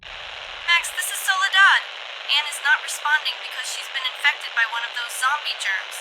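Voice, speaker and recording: A woman voicing a dutiful girl lookout in a comic dub, giving brisk, clipped reports. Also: high voice, expressive, noisy background. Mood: urgent.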